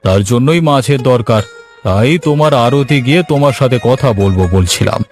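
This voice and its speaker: A man voicing a kindly, authoritative older village headman in a Bengali cartoon story, with a measured, paternal manner. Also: medium pitch, animated, faint music behind, pitched below the performer's own voice, close to an everyday voice. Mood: welcoming.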